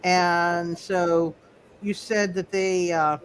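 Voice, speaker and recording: A high-pitched man acts as game master in a live online roleplay session. He works out rulings aloud in a halting, repetitive way, improvising as he goes. Faint noise sits behind his voice. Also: little pitch movement, a bit bright. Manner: halting, repeats words and phrases. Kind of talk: casual, improvised game refereeing.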